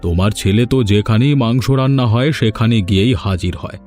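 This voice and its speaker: A man playing a village gentleman and father in a cartoon folk tale, speaking with firm authority. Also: on the low side, fast talker, soft background music, lightly acted. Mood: scolding. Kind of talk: Bengali fairy tale cartoon dubbing.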